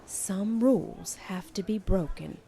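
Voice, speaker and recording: A woman voicing a gentle but firm mother in a storybook. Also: mid-range voice, slow, creaky. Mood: hushed. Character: gentle, firm mother soothing her young daughter.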